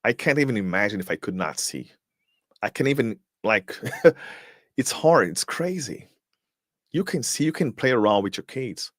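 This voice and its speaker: A man whose first language is Portuguese hosts a live motivational stream in a low voice that rises and falls widely. He pushes rhetorical questions at his listeners and often restarts on repeated words. The sound is close and dry, with no room around it. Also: very animated, moderate pace, a bit bright, no room sound. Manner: emphatic, fires rhetorical questions, repeats words while searching. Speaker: motivational speaker and host.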